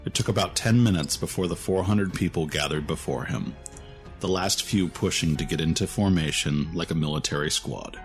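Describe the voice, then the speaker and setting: A man's voice: deep voice, moderate pace, soft background music. A man reading a scripted story as its narrator.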